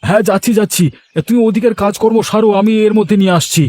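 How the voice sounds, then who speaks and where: A man voicing a henpecked, excitable village husband in a cartoon, flustered and suspicious. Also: high-pitched, very fast, natural voice. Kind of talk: cartoon voice acting.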